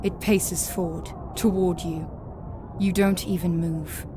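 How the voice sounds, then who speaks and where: A woman narrating a scripted grim fantasy story in a solemn, measured, dramatic storytelling style. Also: on the low side, steady tone, background noise.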